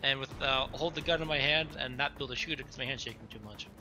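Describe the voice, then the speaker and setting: A man's voice: medium pitch, rapid-fire, sharp tone. A man chatting casually as a player in a tabletop game. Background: music behind the voice.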